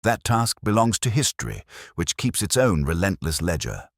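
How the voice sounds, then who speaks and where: A man voicing a grave, brilliant gentleman detective in a storybook, formal, measured and oratorical. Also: low-pitched, sing-song, no echo, clean studio sound, pitched above the performer's own voice, heavily acted. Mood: grave, explaining.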